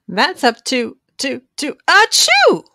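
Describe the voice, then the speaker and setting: Woman playing a blustering, lively storybook papa bear. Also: sits high, takes it slowly, dry recording, studio quality, voice pushed up, full character voice. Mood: boastful, sneezy. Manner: blustering, dismissive. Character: boastful, sneezy father bear, stubborn, protective.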